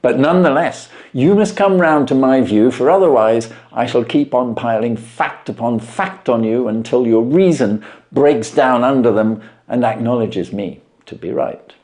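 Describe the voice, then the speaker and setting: A man voicing a self-assured gentleman detective in an audiobook, formal, courteous and emphatically articulate. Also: medium pitch, moderate pace, hardly any pauses, close to an everyday voice. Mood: animated, lecturing. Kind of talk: audiobook character reading.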